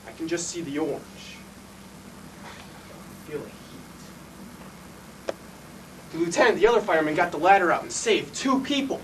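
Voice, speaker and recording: Intense, blunt city fireman, played by a man, swearing freely, big pitch swings, stage monologue picked up from a distance. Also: animated, rapid-fire, background noise. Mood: tense, vivid. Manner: profane, blunt, colloquial storytelling. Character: intense, foul-mouthed city fireman and former cop, male.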